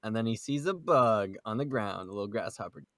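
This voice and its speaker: A man's voice: on the low side, animated, brisk, a crowd in the background. Casual bantering man hosting a podcast. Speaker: podcast host. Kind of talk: casual group chat about manga.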